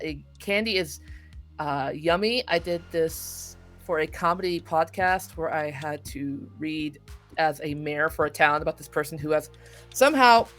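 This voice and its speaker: A woman voice actor being interviewed, chatting casually during a video game in an excitable, halting way, often interrupting herself. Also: deep voice. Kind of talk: casual chat while playing a video game.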